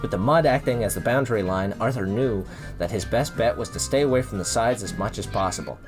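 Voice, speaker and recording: A man's voice: on the low side, brisk, music in the background. A man hosting a comedic read-along, reading aloud and breaking off into amused, casual asides. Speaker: host and reader.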